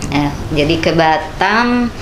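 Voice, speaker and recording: A woman in her forties from Central Java with a Sundanese-tinged accent, answering interview questions in a measured, polite way. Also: low-pitched, animated. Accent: Sundanese-tinged Indonesian. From Central Java, Indonesia. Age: 40s. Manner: measured, polite, with soft fillers and religious greetings. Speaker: political candidate guest. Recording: echoey room with clear background noise.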